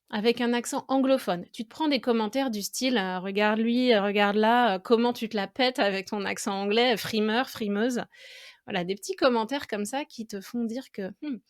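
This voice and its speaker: A woman's voice: fairly high pitch, moderate pace, dry recording, clean studio sound. A French woman hosting an educational podcast, talking to the listener directly in a reflective, conversational way. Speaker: podcast host and French teacher.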